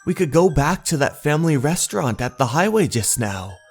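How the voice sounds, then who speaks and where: A man's voice: a little high, very animated, brisk, soft background music, pitched above the performer's own voice. A man voicing an anxious, earnest young man talking urgently to his friend in a horror manga dub. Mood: sheepish, hurried.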